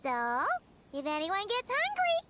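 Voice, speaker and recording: A woman voicing a tiny, excitable childlike fairy companion in a video game, squeaky and chirpy. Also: a little slow, heavy background noise, full character voice. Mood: cheerful, eager. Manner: chirpy, exclamatory, talks of herself in third person. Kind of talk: scripted video game dialogue.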